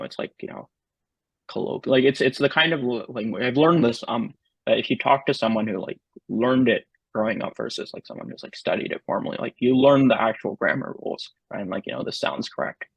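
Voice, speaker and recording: Man guest, gravelly husky voice, dry muffled sound, halting speech with lots of fillers. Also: medium pitch, lively intonation, dry recording. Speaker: interview guest. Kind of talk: casual conversation.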